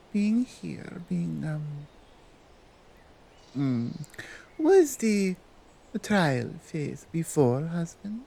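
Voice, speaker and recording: A woman voicing a dominant, doting otherworldly female suitor with a Nordic accent, teasing and deliberate in an ASMR roleplay. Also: low voice, vocal fry, dark tone, a little hiss or hum, heavily acted. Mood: coaxing, confident. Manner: slow, deliberate, teasing, commanding, non-native English phrasing. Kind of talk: ASMR roleplay addressed to the listener.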